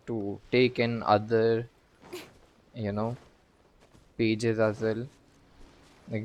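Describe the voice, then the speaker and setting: Male streamer, low and creaky, unhurried halting casual commentary to himself. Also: a little hiss or hum. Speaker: game streamer. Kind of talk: casual live game commentary.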